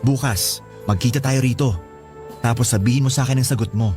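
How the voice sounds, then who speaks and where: A man acting an earnest, expressive young student in love with his girlfriend in a Tagalog radio drama. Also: mid-range voice, fairly quick, soft background music, lightly acted. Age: young adult. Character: smitten, earnest young student suitor, pleading boyfriend.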